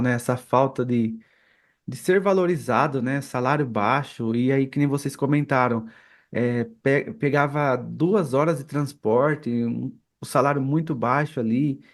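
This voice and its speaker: A Brazilian man hosting a podcast, interviewing casually and often adding little tag questions. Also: medium pitch. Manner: peppers talk with tag questions. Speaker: podcast host.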